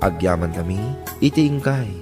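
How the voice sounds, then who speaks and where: A man's voice: sits low, expressive, moderate pace. A man with an Ilocano accent reading a scripted outro as a drama announcer. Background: guitar music.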